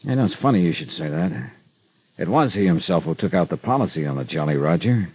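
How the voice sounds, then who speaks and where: Wry, hard-boiled radio detective, animated delivery. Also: male voice, low-pitched, fairly quick, husky, no room sound. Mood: wry, pondering. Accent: American. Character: hard-boiled male insurance investigator, wry and dogged. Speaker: detective and first-person narrator. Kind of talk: 1940s-50s radio drama acting. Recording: dull vintage radio recording.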